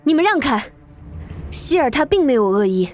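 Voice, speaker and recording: A stately female beast-folk chief from a fantasy cartoon. Her voice is high yet measured and grave, weary but protective of her people. Soft music runs behind her. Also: very animated. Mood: calm, composed. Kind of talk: animated fantasy drama acting.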